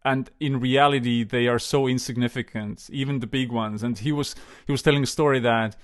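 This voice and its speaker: A man in casual conversation, telling stories in a hesitant, relaxed way, with many fillers and restarts. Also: medium pitch, brisk. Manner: storytelling, relaxed.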